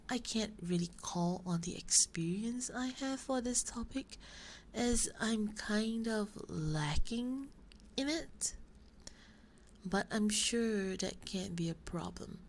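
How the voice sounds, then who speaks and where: A woman playing a flustered, shy romance novelist roommate in an ASMR roleplay, hesitant, soft-spoken and rambling as she justifies herself. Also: mid-range voice. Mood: awkward, flustered. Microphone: binaural ASMR microphone. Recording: faint noise, binaural microphone.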